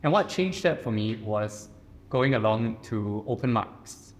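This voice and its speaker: A poet from Singapore with a Singaporean accent, telling a personal story in a measured, earnest way on a panel. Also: male voice, lowish voice, animated, a little hiss or hum. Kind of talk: personal reflective talk. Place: reception room at a residence.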